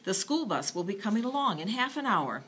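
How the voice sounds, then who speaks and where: A woman voicing a brisk, commanding farm mother in a storybook. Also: medium pitch, big pitch swings, fairly bright tone, husky, pitched above the performer's own voice.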